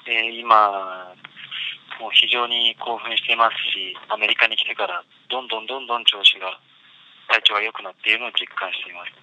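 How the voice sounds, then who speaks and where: A man from Japan gives a polite, formal statement as a boxer. He talks very quickly in a sharp, forceful, slightly low voice. A noisy telephone line carries faint hiss. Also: steady tone. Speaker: professional boxer.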